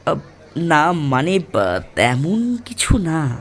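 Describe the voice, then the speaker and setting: A performer playing a shy, quiet young male college student in an audio story, hesitant and mild. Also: mid-range voice, sing-song, drawn-out words. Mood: hesitant, awkward.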